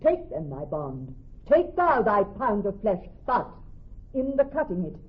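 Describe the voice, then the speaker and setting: A woman playing a clever young woman posing as a male judge in classical radio drama, speaking in a measured, formal, authoritative way. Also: medium pitch, big pitch swings, breathy edge. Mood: stern, commanding. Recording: thin, narrow-band old radio broadcast.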